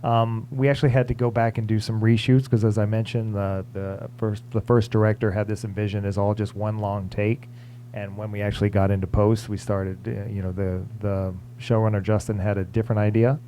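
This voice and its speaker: A man's voice: lowish voice, flat delivery, a little fast, warm tone, a little hiss or hum. A man in his forties, a visual effects supervisor, talking on a theater stage into a handheld mic, hesitant with many fillers and restarts. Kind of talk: panel conversation on stage. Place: theater stage before an audience. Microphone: handheld microphone.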